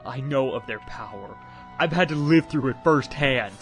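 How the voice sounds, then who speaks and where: A man's voice: medium pitch, lively intonation, moderate pace, soft background music, close to an everyday voice. A man playing a brooding, reluctant young village hero in an amateur radio play, grumbling as he talks. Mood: terse. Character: brooding twenty-year-old village hero with a grudge.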